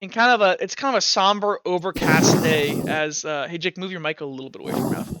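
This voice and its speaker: Young male game master with a high, bright voice. Rambly and casual, dry close mic. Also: clear, resonant tone, dry recording, rough recording. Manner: chatty, stumbling, quick asides with frequent fillers. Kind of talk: casual tabletop game chat.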